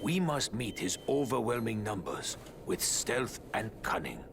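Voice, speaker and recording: A man playing a wise, fatherly elderly mutant rat sensei in a cartoon video game, formal and deliberate. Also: on the low side, sing-song, creaky, noisy background, exaggerated voice. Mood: solemn, cautioning.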